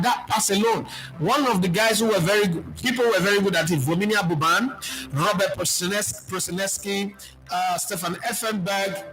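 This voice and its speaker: A Nigerian man speaking as a football pundit, answering viewer questions in an animated, preachy way and mixing in pidgin. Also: high-pitched, rough recording. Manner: animated, preachy, lists players, mixes in pidgin.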